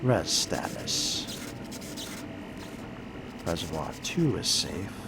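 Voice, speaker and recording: A man's voice: low-pitched, big pitch swings, very slow, creaky, very noisy, heavily acted. A man playing a weary, sardonic fledgling vampire in a video game roleplay, muttering dryly to himself. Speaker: solo game player.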